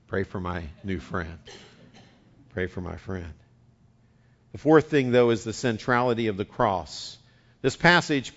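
Folksy pastor preaching, muffled recording. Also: male voice, lowish voice. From West Virginia. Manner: folksy and chatty, repeats words when starting sentences. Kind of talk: sermon with a folksy story.

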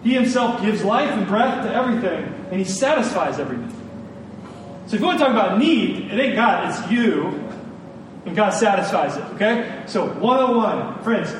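Man, preacher, high voice, emphatic repetition, colloquial delivery, very echoey room. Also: expressive, moderate pace. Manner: emphatic, repeats phrases for stress; informal, colloquial asides to listeners. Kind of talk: sermon. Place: large room, speaking to a congregation. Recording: echoey room, distant mic, faint rumbling noise.

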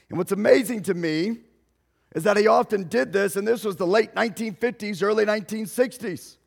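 A male pastor, telling a story in a sermon slowly and deliberately, raising his voice at dramatic moments. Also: high voice, vocal fry. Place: church sanctuary. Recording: long hall reverb.

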